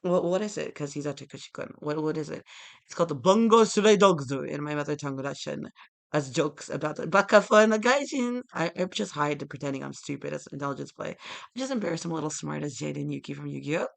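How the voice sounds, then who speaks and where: Fast-talking gravelly young man rambling. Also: a little high, expressive. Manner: disjointed, with sudden loud outbursts. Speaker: vlogger. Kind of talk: rambling monologue to camera.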